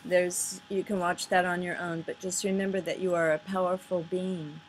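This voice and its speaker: Low-voiced woman musing over noisy audio. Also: flat delivery, normal speed. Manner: musing, rambling, earnest. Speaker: video commentator. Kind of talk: casual off-the-cuff commentary over played videos. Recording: noisy, poor-quality home recording.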